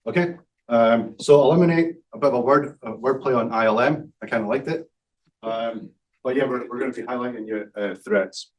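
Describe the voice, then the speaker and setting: A Scottish man in his forties pitches his startup at a community event, filling gaps with ums and joking at his own expense. His voice sits in an ordinary middle range and comes across a bit distant from the mic on stage. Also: a little fast. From Scotland. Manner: self-deprecating, many ums and uhs. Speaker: startup founder presenting. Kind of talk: live pitch presentation.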